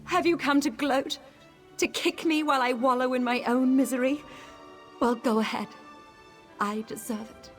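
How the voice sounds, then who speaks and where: A woman's voice: a little high, lightly acted. An actress playing a proud, haughty noblewoman who speaks with scorn, in a video game.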